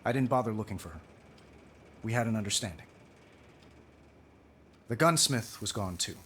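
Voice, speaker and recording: Wisecracking superhero, lively pitch. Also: male voice, moderate pace, slight background noise. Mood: reflective, somber. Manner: glib, quippy delivery. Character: wisecracking, confident armored superhero, reflective at times. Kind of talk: video game voice acting.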